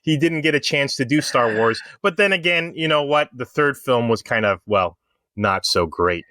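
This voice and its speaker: A man's voice: mid-range voice, animated, normal speed, pushed and bright, no room sound, studio quality. A man co-hosting a podcast, talking casually and giving opinionated explanations. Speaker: podcast co-host.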